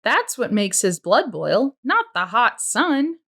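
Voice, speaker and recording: A woman's voice: a little high, sing-song, slow, fairly bright tone, husky, voice pushed up, exaggerated voice. A woman reader voicing a mocking, scornful male camp counselor who sneers with mock praise. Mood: condescending.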